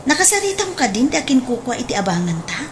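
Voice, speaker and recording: A woman's voice: high voice, big pitch swings, quick pace. A woman playing an eager, enterprising grown daughter in a radio drama, with an Ilocano accent and exclamatory, swooping delivery. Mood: excited. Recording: faint background noise.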